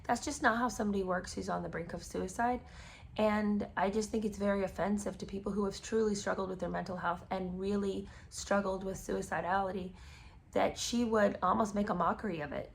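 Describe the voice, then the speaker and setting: Woman host, hoarse cracking voice, sarcastic, exasperated, casual commentary. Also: mid-range voice, steady tone, fairly quick, a little hiss or hum. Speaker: podcast host. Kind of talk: casual commentary reading a memoir.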